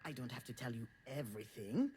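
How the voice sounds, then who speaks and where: A woman's voice: a bass voice, animated, fast talker, slight background noise. A woman playing a self-deprecating, wistful adult daughter, narrating her family memories in a reflective, conversational first-person voice for a visual novel. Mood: confiding, uneasy. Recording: prominent music under the voice. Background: loud music.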